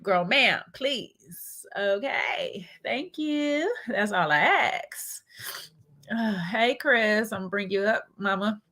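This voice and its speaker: A woman's voice: medium pitch, animated, slow pace, pushed and bright. A woman with a stuffy nose from allergies hosts a casual livestream chat, talking in slangy, chatty bursts and laughing often. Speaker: host of a reality-show recap livestream.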